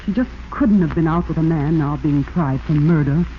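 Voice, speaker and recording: An actress playing a devoted, pleading young wife with an earnest, melodramatic 1940s radio delivery. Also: female voice, deep voice, moderate pace, natural voice. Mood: earnest distress. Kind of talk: 1940s radio drama acting. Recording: muffled, faintly hissy 1940s radio.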